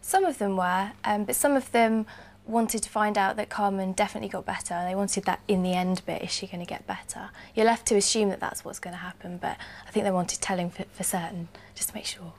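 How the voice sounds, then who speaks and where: A woman teacher explains and instructs. Her voice is of medium pitch, and her talk is explanatory and conversational. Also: fairly even intonation, fairly quick. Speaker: English teacher.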